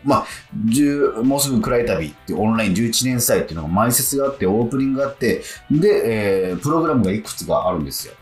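Animated male radio host in a roomy studio. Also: mid-range voice, fast, hardly any pauses, faint music behind. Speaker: radio show host and actor. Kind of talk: casual radio talk with co-host.

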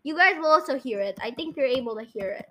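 Bright, high-pitched young podcast host, female-sounding. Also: expressive, quick pace. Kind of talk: casual game chat, guessing voice lines.

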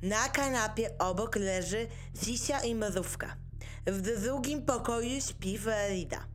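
A woman's voice: fairly high pitch, slow, soft background music. A woman reading scripted narration for a radio play as its narrator.

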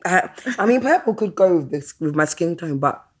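Casual podcast host: woman, very low-pitched voice, informal and slangy in a chatty interview. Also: expressive, normal speed, husky.

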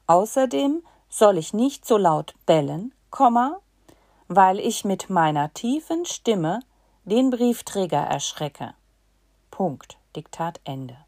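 A woman giving a slow dictation to learners, reading in short chunks and saying the punctuation aloud. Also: medium pitch, big pitch swings, darkish tone, dry recording, studio quality.

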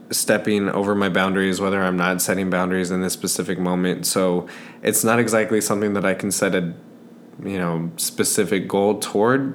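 A man's voice: sits low, slight background noise. A man in his twenties hosting a podcast, talking casually and personally in an earnest, reflective way. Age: 20s. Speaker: podcast host.

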